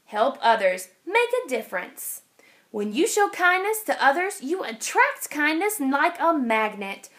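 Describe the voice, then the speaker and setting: Woman reading as an animated, encouraging lady bus driver. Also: sits high, a little slow, heavily acted. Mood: encouraging, firm. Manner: instructive, encouraging, emphatic. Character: kind, encouraging female bus driver giving a child advice.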